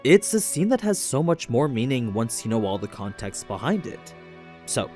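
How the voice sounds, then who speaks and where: A man reading scripted narration in a measured explainer manner, as for a recap video. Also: mid-range voice, darkish tone. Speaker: narrator of an anime lore recap show. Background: background music.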